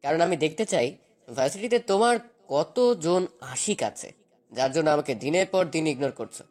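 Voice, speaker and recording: A man's voice: a little high, expressive, moderate pace, darkish tone, close to an everyday voice. A man playing a possessive, domineering young husband in a dramatized romantic story, speaking in a commanding, insistent way.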